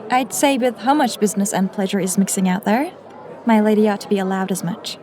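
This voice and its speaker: A woman's voice: medium pitch, fairly quick, a little mellow, natural voice. A woman playing a courteous, guarded young woman in an audio drama, speaking formally and a little hesitantly. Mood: politely deferential. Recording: music and crowd murmur behind.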